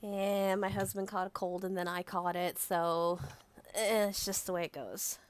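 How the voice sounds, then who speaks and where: A woman playing a tabletop game on a live stream, her voice hoarse from a cold, chatting in an apologetic, casual way. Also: mid-range voice, fairly even intonation, a little slow.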